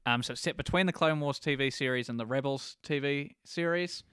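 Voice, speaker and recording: Clear-voiced man, close and dry. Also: mid-range voice, brisk, fairly bright tone, no room sound. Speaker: podcast co-host reviewing films and shows. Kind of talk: casual review chat.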